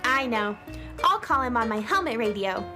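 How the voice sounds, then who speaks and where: A woman playing a cheerful young space adventurer girl in a children's radio play, expressive and wide-eyed. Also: fairly high pitch, normal speed, music in the background, lightly acted. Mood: cheerful, puzzled.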